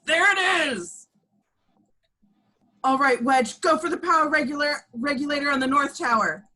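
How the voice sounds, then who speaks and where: A woman's voice: high-pitched, moderate pace, bright, forceful tone. A woman playing an urgent fighter pilot in a space battle, in an amateur table read.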